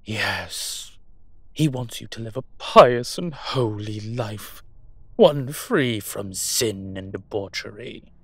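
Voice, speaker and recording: A man playing a suave incubus demon professor in a roleplay audio drama, measured, wry and teasing. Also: lowish voice, husky, no room sound, exaggerated voice.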